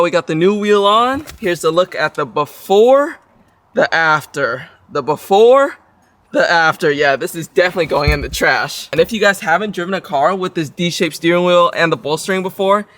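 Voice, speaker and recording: A young man talking casually to camera in a garage, excited and slangy as he shows off a car. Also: high-pitched, normal speed. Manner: excited, slangy, hypes things up. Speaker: car vlogger. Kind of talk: casual vlog talk to camera.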